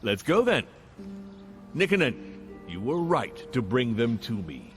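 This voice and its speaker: An imperious dwarf lord from a fantasy video game. His formal, deliberate speech swings widely in pitch, shrewd and superior. Music plays behind him. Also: sing-song, fairly bright tone. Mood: courteous, commanding. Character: haughty dwarf lord, shrewd castle baron. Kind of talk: video game cutscene acting.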